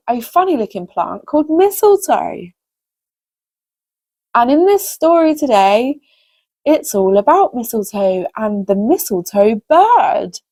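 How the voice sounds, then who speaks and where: Slow, lively, high-voiced woman storyteller. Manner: expressive, simple direct address to children. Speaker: host reading children's stories. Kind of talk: storytelling for young children.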